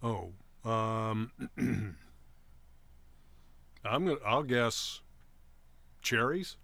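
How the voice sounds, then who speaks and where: Low-voiced man in casual chat. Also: animated, extremely slow, creaky, pushed and bright, no room sound. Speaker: talk show co-host.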